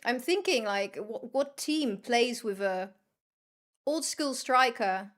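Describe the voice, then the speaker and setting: Woman, Dutch accent, high-pitched voice, casual game-stream chat, blunt teasing banter. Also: expressive, takes it slowly, a bit bright. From Netherlands. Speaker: game livestreamer. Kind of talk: casual chat while gaming.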